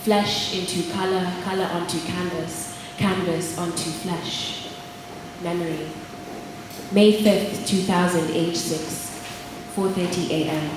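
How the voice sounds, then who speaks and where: Woman performing spoken word in echoey hall. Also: mid-range voice. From Kenya. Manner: rhythmic, measured, repeating phrases. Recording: echoey hall with long reverb, room noise.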